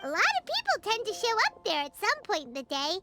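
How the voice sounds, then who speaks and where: A woman voicing a tiny, cheerful, floating fairy companion in a video game, with a squeaky, sing-song manner. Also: huge pitch swings, a little fast, exaggerated voice. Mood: upbeat. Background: game music.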